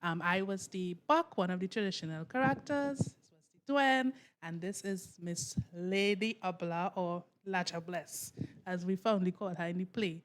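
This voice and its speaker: A woman's voice: lowish voice, moderate pace. A woman with a Trinidadian accent, a stage performer, explaining things in a casual interview.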